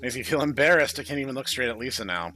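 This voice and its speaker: A man's voice: mid-range voice, animated, racing, pushed and bright, a little hiss or hum. A man reading the part of an awkward, sardonic, introspective young programmer in a visual novel. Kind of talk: visual novel read-aloud.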